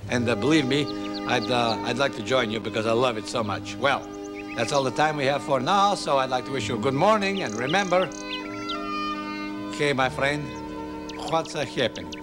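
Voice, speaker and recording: A man's voice: mid-range voice, moderate pace, over loud music, lightly acted. An actor playing a jovial Greek male instructor on a morning TV show, speaking with a put-on Greek accent and a beaming, sing-song manner in a 1980s sketch comedy. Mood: chummy. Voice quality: beaming, sing-song, leans hard on catchphrases.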